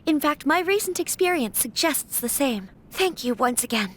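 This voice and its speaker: Proper young noble lady, fairly high and formal. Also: animated, moderate pace. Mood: resolute. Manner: formal, polite. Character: resolute young noblewoman heir.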